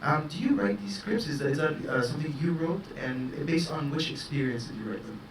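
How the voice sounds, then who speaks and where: A man interviewing a director, enthusiastic, asking halting, restarting questions. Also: a little high, lively intonation. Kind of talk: festival interview. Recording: roomy, distant mic with noise.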